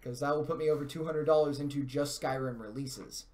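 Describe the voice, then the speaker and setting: A man's voice: medium pitch, steady tone, fairly quick, a little mellow. A man hosting a live stream with casual gaming commentary, talking in a chatty, self-deprecating and mildly sweary way.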